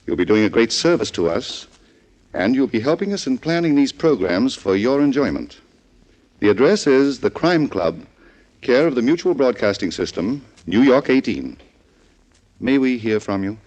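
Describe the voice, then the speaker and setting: A man's voice: mid-range voice, sing-song, normal speed, darkish tone. A man hosting and narrating a 1940s radio mystery show, addressing listeners in a polite, formal, scripted manner. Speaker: host, in character as club librarian. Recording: muffled 1940s radio recording.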